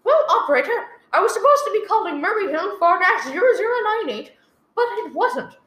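A woman's voice: very high-pitched, very animated, a little fast, fairly bright tone, exaggerated voice. A woman playing a nervous, demanding invalid wife in a melodramatic radio play. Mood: horrified, frantic. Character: nervous, imperious invalid wife, shrill and frantic. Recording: echoey live room recording.

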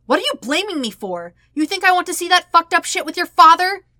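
A woman's voice: very animated, brisk, no echo, clean studio sound, exaggerated voice. A woman voicing a blunt, defensive, indignant teenage boy in an audiobook story, snapping in a harsh, volatile way. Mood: indignant, shouting. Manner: snapping, loud, harsh, high-strung.

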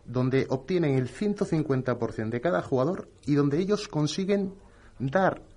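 A man talks basketball with passion in a relaxed chat. His voice sits a bit high for a man. He gets animated and stumbles over repeated words as he builds his points. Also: normal speed. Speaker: basketball podcast co-host. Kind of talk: casual chat.

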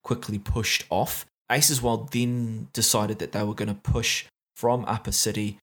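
A man's voice: on the low side, level tone, a little slow. A man with a New Zealand accent giving a casual recap of a competitive game match, with frequent fillers and restarts. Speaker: esports match recap caster.

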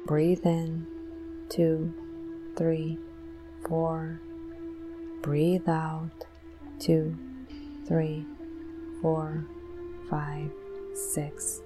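A woman leading a guided meditation in a gentle, soothing, deliberate manner with long pauses. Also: sits low, completely flat delivery, music in the background, rough recording.

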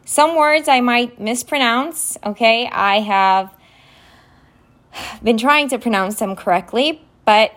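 A woman whose first language is Romanian, hosting a podcast and going slowly through vocabulary words, spelling each one letter by letter. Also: a little high, fairly bright tone. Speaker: podcast host. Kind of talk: vocabulary lesson, casual spelling and definitions. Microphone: phone app recording.

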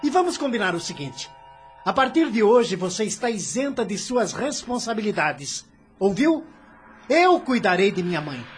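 An actor playing a domineering adult son in a radio drama, commanding then pleading. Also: male voice, high voice, sing-song, normal speed, faint music behind.

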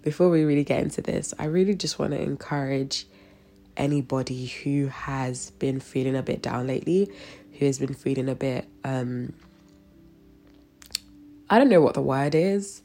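A woman's voice: a bass voice, moderate pace, warm tone. A woman with a cold-blocked, stuffy nose, giving a devotional talk with a spoken prayer in a chatty manner full of fillers and restarts. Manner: conversational, with frequent fillers and restarts.

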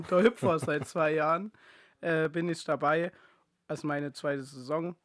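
A man in his late twenties co-hosting a casual podcast, modest and quick to laugh. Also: fairly high pitch, fairly even intonation, dry recording, studio quality. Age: 20s. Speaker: podcast co-host and football player.

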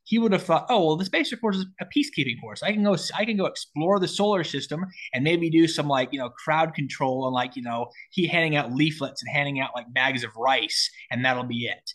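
A man's voice: a little high, a little fast, few pauses, fairly bright tone. A man in his late twenties explaining story ideas in casual talk, peppered with "like" and "you know". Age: late 20s. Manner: explanatory, frequent filler "like". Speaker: writer collaborating on a story. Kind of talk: casual creative discussion.

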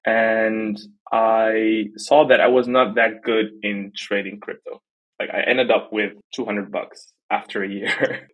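A man's voice: mid-range voice, highs cut off. A man in his twenties from the Netherlands, chatting casually as a podcast guest in a self-deprecating, jokey way. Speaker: interview guest, art platform team member.

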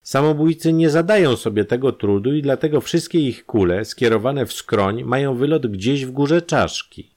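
A man's voice: mid-range voice, expressive, normal speed, few pauses, darkish tone, voice pushed up, close to an everyday voice. A man voicing a brusque, impatient, experienced male doctor in a crime audio drama. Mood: explaining. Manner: curt and insistent.

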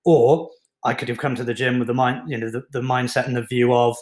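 A man's voice: mid-range voice, flat delivery, brisk. A man, a fitness coach giving an earnest, encouraging talk on mindset. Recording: echoey room.

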